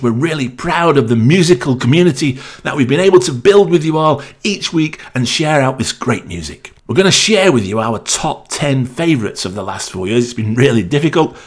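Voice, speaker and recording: A man hosting a new music radio show, announcing tracks and reading out a countdown in an upbeat way. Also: mid-range voice.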